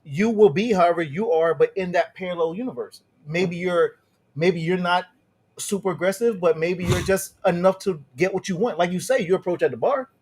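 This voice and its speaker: High-voiced man, rambling casual talk. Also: normal speed, darkish tone, breathy edge. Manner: rambling, restarts, acts out pickup lines. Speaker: podcast co-host. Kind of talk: casual chat.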